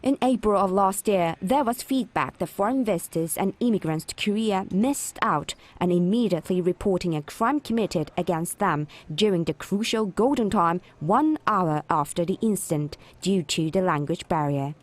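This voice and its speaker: A woman reporter reading a scripted news report in a measured, even delivery. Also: lowish voice.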